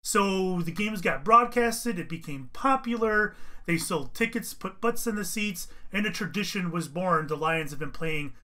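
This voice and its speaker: A man hosting a casual podcast, energetic and joking, correcting himself as he goes. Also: high-pitched, normal speed, hardly any pauses. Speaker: podcast host.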